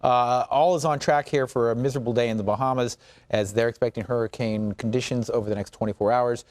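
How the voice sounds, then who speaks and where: A man's voice: mid-range voice, fairly even intonation, fast talker, darkish tone, no echo. A man with an American accent giving a live morning weather report in an informal, joking, chatty way. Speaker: TV weather forecaster.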